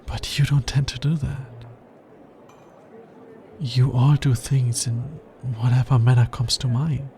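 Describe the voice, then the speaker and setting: Man voicing a slow, hesitant, smitten alien scientist. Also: medium pitch, fairly even intonation, mellow, a little hiss or hum, lightly acted. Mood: precise, musing. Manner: hesitant, earnest, precise formal wording. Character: anxious, smitten male alien scientist, formal and procedure-bound. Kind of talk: intimate ASMR roleplay.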